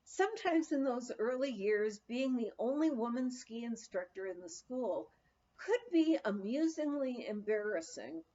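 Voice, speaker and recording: A woman narrating a humorous memoir aloud, with expressive storytelling and deliberate phrasing. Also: a little high, muffled recording. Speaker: audiobook reader.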